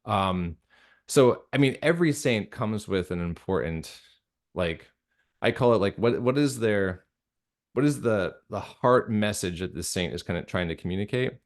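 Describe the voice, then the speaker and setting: A man's voice: fairly low pitch, lively intonation, moderate pace. A man with an American accent talking casually as an interview guest, feeling his way through phrases as he thinks aloud. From United States. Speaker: interview guest, radio drama creator.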